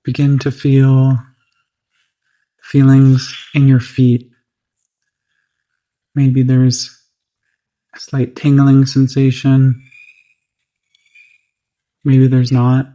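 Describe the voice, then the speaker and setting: A meditation guide speaking gently and soothingly, unhurried, with long pauses between phrases. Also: mid-range voice, completely flat delivery, rough recording.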